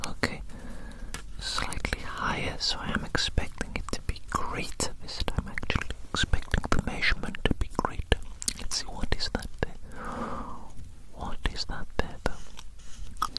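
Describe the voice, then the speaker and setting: A man speaks entirely in a breathy whisper, as in an ASMR roleplay. His manner is gentle and soothing, and he often softly repeats short phrases to himself and the listener. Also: measured pace, low-quality recording. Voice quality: unvoiced whisper.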